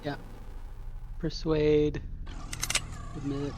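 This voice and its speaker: A man's voice: on the high side, completely flat delivery, extremely slow, warm tone, muffled recording, a little hiss or hum. A man talking casually as a player in a tabletop game. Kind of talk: casual chat.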